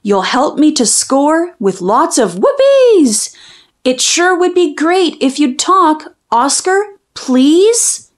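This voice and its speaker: A woman reading a children's picture book aloud in an expressive, sing-song storytelling manner, drawing out the rhyming lines. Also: high-pitched, takes it slowly.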